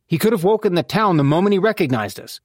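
Man voicing a flippant, drawling young man, lifted pitch. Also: animated, fast talker, no room sound, clean studio sound. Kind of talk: audiobook character dialogue.

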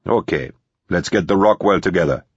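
A man's voice: low voice, normal speed, slightly rough, dry recording, highs cut off. A man voicing a weary, sardonic squad leader who gives curt, slangy orders in an action audiobook. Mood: curt, commanding.

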